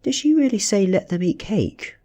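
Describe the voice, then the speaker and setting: A British man reading as an easygoing, friendly teenage schoolboy in an audiobook, with a casual, quick delivery. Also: high voice, expressive, mellow, voice pushed up. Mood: amused. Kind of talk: soft-spoken audiobook character reading.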